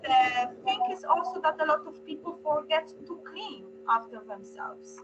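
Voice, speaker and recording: A woman's voice: high-pitched, animated, normal speed, music in the background. A woman from Poland with a Polish accent, speaking earnestly and somewhat hesitantly in an informal panel talk. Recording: dull lo-fi sound, humming noise.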